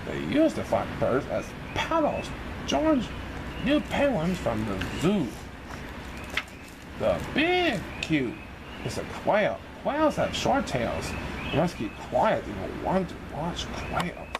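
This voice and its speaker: A man's voice: on the high side, huge pitch swings, takes it slowly, noisy background. A man reading a children's storybook aloud in an exaggerated sing-song way for young listeners.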